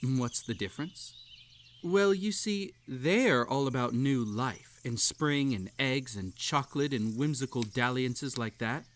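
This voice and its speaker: A man's voice: mid-range voice, expressive, unhurried, slight background noise, natural voice. A man playing an unhinged, possessive bunny hosting a sinister tea party in a roleplay audio drama, coaxing and falsely polite. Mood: coy, coaxing. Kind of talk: scripted roleplay audio drama addressed to the listener.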